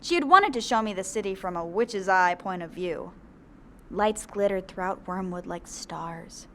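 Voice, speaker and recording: A woman's voice: mid-range voice, unhurried, bright, forceful tone. A woman playing a bewildered teenage zombie girl who dramatically narrates her own story in an audio drama. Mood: wry storytelling. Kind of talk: scripted first-person audio drama narration.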